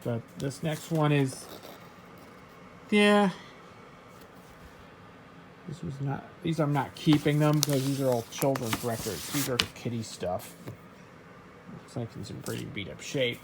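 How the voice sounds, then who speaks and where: A man casually showing his record collection, reading out titles in a halting, offhand way. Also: medium pitch, vocal fry, background noise. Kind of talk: casual show-and-tell vlog.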